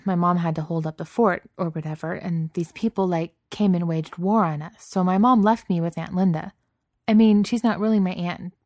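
A woman's voice: on the low side, a little mellow, no room sound, studio quality, natural voice. A woman voicing a huge but timid, apologetic young male beast in a story, hesitant and stammering, with teenage slang. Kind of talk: audiobook character voice.

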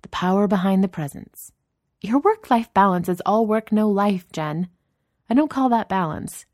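A woman's voice: mid-range voice, lively intonation, normal speed, darkish tone, no room sound, clean studio sound, pitched above the performer's own voice, close to an everyday voice. A woman voicing a brash senior executive woman who teases with theatrical sarcasm and mock drama. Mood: exasperated, scolding.

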